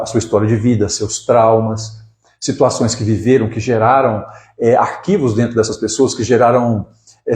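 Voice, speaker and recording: A man's voice: mid-range voice, mellow. Brazilian man in his forties, echoey. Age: 40s. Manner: conversational, frequent "né?" tags and restarts. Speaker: acupuncturist hosting a live stream. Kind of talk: casual live talk.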